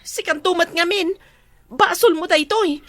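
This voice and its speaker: An actress with an Ilocano accent playing a defensive, bickering village wife in a comedy drama. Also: female voice, extremely high pitch, a little slow, fairly bright tone. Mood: blaming. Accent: Ilocano, northern Philippines.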